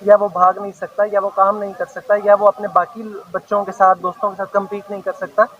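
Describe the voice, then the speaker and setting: A man's voice: high-pitched, little pitch movement, fairly quick, a bit bright, slight background noise. A man, a children's heart specialist, earnestly gives a public health message outdoors. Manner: earnest, long explanatory sentences. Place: outdoor awareness walk. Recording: dull lo-fi news field audio.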